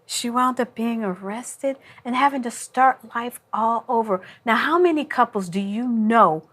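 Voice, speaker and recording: A woman's voice: fairly high pitch, a little slow, fairly bright tone. A middle-aged woman speaking in a casual, reflective way as co-host of a talk show.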